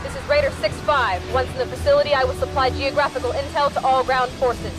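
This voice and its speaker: A woman playing a brisk, confident military pilot making businesslike radio callouts in a video game. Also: high voice, fairly even intonation, music in the background, close to an everyday voice, rough recording. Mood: brisk, focused. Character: brisk, confident female military aircraft pilot giving support.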